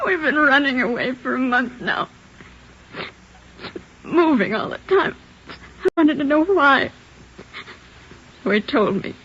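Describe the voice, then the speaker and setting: An actress playing a killer's weary, devoted wife in a vintage radio crime drama. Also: female voice, high voice, expressive, slow, pauses often, fairly bright tone, full character voice. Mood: weary, confessing. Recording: muffled, noisy old radio broadcast.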